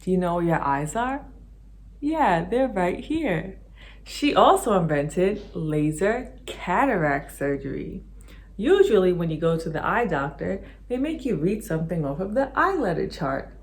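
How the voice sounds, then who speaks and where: A woman's voice: fairly low pitch, very animated, slightly rough. A woman hosting a children's video, reading a rhyming picture book aloud and leading kids in games. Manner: slow rhythmic rhyming read-aloud; bright sing-song teacher voice for kids. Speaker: children's show host.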